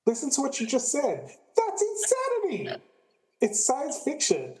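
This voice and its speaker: A man's voice: very high-pitched, wildly swooping pitch, a little slow, darkish tone, exaggerated voice. A man playing a laid-back, skeptical friend with a casual way of talking, in an amateur radio play. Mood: incredulous.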